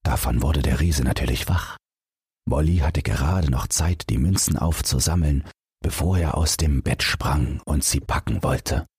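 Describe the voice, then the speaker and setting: A man with a husky, gravelly voice reading a bedtime fairy tale as an audiobook narrator in a measured storytelling way. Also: a bass voice, a little mellow, no echo, studio quality.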